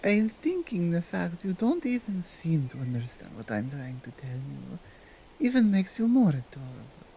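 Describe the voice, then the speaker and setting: A woman voicing a dominant yet tender female Krampus in an ASMR roleplay, with a Nordic accent and halting, deliberate broken English. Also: fairly low pitch, sing-song, creaky, warm tone, slight background noise. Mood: teasing, doting. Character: dominant, tender, seductive female Krampus, otherworldly gift-bringer.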